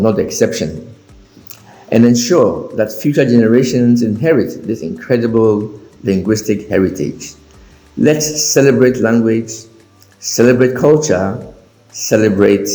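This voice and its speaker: A man giving a measured, earnest prepared speech. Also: lowish voice, warm tone. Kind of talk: prepared speech on language diversity. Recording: echoey room with faint music.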